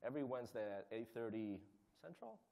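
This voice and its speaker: A male panelist describes his volunteer community work in an energetic, conversational manner. He speaks quickly at a middle pitch. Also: creaky. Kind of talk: conference panel talk.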